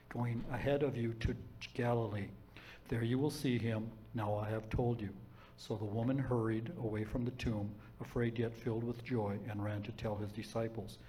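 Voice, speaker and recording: A man reading scripture aloud in a steady, measured way and giving announcements to the congregation. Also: mid-range voice, slight background noise, poor audio. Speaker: scripture reader.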